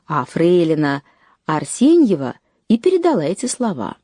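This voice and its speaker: A woman reading a scripted documentary narration in a measured way, lifting her voice on key phrases. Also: on the low side, huge pitch swings, warm tone, husky, dry recording, clean studio sound.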